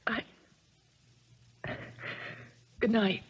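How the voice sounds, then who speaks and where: A woman's voice: a little fast, natural voice. An actress playing a bitter, weary woman tennis star in a 1970s radio drama, who also narrates. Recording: dull lo-fi radio broadcast with music.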